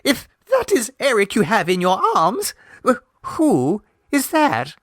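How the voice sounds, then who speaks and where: A man's voice: high voice, wildly swooping pitch, takes it slowly, fairly bright tone, dry recording, studio quality, pitched above the performer's own voice, heavily acted. A man voicing a timid, tearful young woman who falters and stammers.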